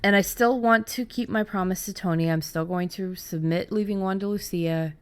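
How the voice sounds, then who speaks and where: A middle-aged woman host talking casually and candidly about her own experience, haltingly, as if thinking aloud. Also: medium pitch, clean tone. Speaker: podcast host and fiction writer. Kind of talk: casual conversational storytelling.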